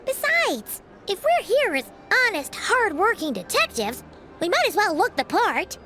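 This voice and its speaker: A woman voicing a tiny, excitable female fairy companion who bubbles along and talks about herself in the third person. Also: extremely high pitch, measured pace, full character voice. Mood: eager. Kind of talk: video game dub. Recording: game cutscene with music.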